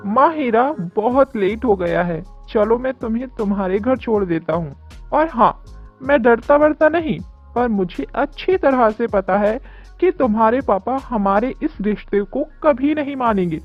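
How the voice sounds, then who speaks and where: A woman playing a cautious, practical man in his twenties in an animated story. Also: fairly high pitch, a little slow, no echo. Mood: cautious, reasoning. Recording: dull lo-fi audio with music.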